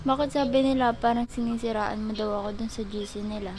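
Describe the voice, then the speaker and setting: Young Filipino female, high register, playful prank-caller chat, audibly noisy setting. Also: fairly even intonation, fast talker, darkish tone. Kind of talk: casual phone chat.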